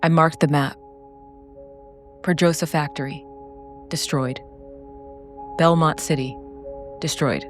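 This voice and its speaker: A woman narrating a scripted, dramatic solo role-playing story in a solemn, deliberate way with short phrases. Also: sits low, steady tone. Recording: background music under the voice.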